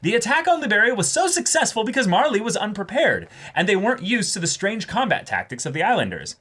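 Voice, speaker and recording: A man's voice: sits high, sing-song, quick pace, sharp tone. A man co-hosting a show, talking in casual, emphatic commentary with repeated words for stress. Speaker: co-host of anime reaction show.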